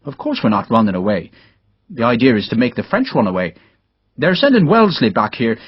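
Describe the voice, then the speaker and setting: A man's voice: mid-range voice, big pitch swings, brisk, slightly rough, no room sound, dull audio. A man voicing a hearty, blunt middle-aged Irish engineer captain in an audiobook. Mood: indignant, rousing. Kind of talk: audiobook character dialogue.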